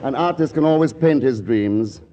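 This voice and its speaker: An actor playing a suave, wistful, teasing painter in a 1940s radio romantic comedy, with a wry, hesitant delivery. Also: male voice, medium pitch, normal speed, very noisy, lightly acted. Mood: flustered, wistful. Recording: dull vintage radio recording, music behind.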